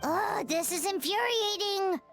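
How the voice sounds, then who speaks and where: A woman voicing a small, excitable, bossy girl companion in an anime game, with music behind her. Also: very high-pitched, measured pace, full character voice. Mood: exasperated. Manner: exclamatory, dramatic, cheeky. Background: background music.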